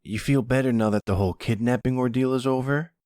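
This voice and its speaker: Guy doing a young mob kid, lighter husky voice, chatty n casual, audio roleplay. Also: mid-range voice, fast, a little mellow, no echo, clean studio sound, pitched above the performer's own voice, close to an everyday voice. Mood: teasing concern. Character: young male mob underling, easygoing and talkative.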